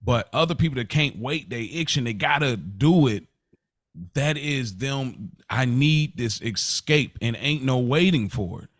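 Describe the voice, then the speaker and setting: An American man hosting a casual podcast discussion, telling stories in an animated way, acting out quotes and repeating phrases for emphasis. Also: mid-range voice, measured pace, dry recording, studio quality. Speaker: podcast host.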